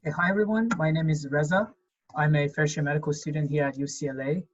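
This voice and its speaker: A young man from Iran speaks. He introduces himself in an upbeat, chatty way. His voice sits a bit high, and the video call audio is muffled. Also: steady tone, fast. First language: Persian. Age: 20s. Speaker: panelist, medical student.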